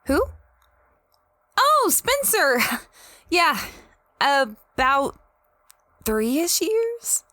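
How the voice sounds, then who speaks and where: A woman's voice: sits high, very animated, drawn-out words, pauses often, bright, forceful tone, no echo, clean studio sound, exaggerated voice. A woman playing an apologetic young female space adventurer in an audio drama, hesitant and self-effacing. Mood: awkward, apologetic. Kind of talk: audio drama dialogue and diary-like narration.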